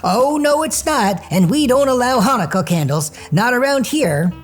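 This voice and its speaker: Squeaky little goblin in falsetto. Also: male voice, lively intonation, moderate pace, soft background music. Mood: defiant, boastful. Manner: defiant, whining. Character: small squeaky boastful goblin, easily frightened.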